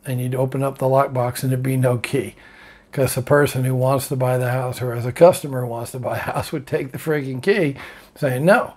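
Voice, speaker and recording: A man's voice: mid-range voice, darkish tone, slightly rough. An older man chatting casually to his camera from a bedroom, rambling through a story and laughing at it. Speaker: vlogger. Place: bedroom, indoors.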